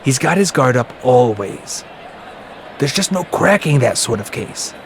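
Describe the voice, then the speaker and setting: A man's voice: medium pitch, very animated, measured pace, a little hiss or hum. A man acting a shrewd, cynical ninja commander in an anime-style audio drama, speaking bluntly and matter-of-factly. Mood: wry, shrewd. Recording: music behind the voice.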